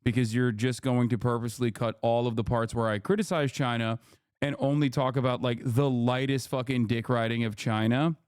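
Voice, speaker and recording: Male streamer, mid-pitched, heated and profane, rambling rant, dry close sound. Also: fairly even intonation, fairly quick, a little mellow, clean tone, no echo. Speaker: live streamer. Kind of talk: casual live-stream rant to chat.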